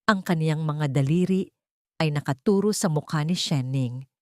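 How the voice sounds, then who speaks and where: Low-voiced woman narrating, dry sound. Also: moderate pace, no echo. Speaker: story narrator. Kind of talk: dramatic scripted narration.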